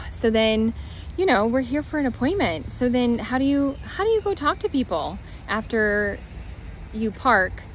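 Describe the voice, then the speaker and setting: A woman's voice: a little high, sing-song, a little slow, slight background noise, low-quality recording. A woman in her forties telling a rambling, flustered story into a phone held at arm's length outdoors, sighing often. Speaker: vlogger. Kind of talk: casual selfie vlog. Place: parking lot outdoors.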